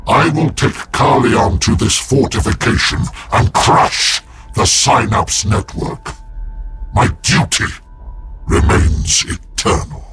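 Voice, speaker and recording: A man playing a grim, weary veteran space marine psyker in a video game, narrating solemnly in a breathy, gravelly voice. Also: medium pitch, takes it slowly, fairly bright tone, a little hiss or hum. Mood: haunted, resolute. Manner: solemn, portentous. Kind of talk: dramatic scripted game narration.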